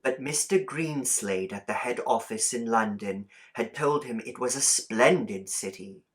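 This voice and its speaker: A man reading a short story as an audiobook, in a deliberate, expressive storytelling delivery. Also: medium pitch. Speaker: audiobook reader. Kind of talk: scripted storybook narration.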